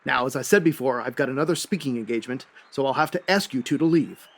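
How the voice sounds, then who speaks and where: A man's voice: mid-range voice, fast talker, lightly acted. A man playing a haughty, brusque costumed hero turned demagogue in the oratorical style of a 1940s radio serial. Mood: evasive, curt. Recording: echoey hall reverb, faint noise.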